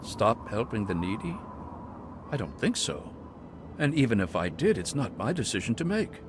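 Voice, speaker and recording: A pious cult monk. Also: male voice, on the low side, big pitch swings, normal speed, noisy background. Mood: serene. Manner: soft, pious, sermonizing. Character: serene zealous male cult monk. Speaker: game character. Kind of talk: video game dialogue.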